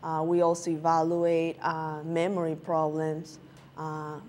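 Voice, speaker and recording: Woman, halting with fillers. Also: sits low, completely flat delivery, a little mellow, a little hiss or hum. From Peru. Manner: halting, many filler sounds. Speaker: guest physician. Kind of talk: interview answers.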